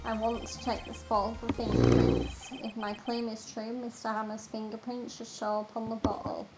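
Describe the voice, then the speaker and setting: A woman's voice: fairly high pitch, very animated, moderate pace, gravelly. A woman with an English accent reading the lines of an earnest young male defense lawyer from a courtroom game aloud. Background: game music.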